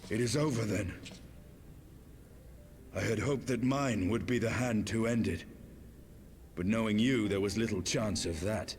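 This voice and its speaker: A man performs a proud, weary dragoon in a game cutscene. His voice sits low, grimly resolved, formal and measured, with soft music behind him. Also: close to an everyday voice. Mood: wry relief. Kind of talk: video game cutscene acting.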